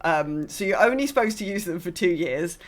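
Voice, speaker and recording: A woman's voice: fairly low pitch, lively intonation, a little fast. A British woman hosting a podcast in casual chat, rambling and hesitant as she talks. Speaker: podcast host.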